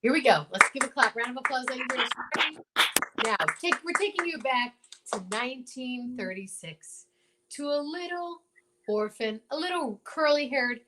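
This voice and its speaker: A woman's voice: high voice, animated, normal speed, sharp tone, a little hiss or hum, rough recording. A woman hosting and directing an amateur radio show, casually coaching and stretching key words theatrically.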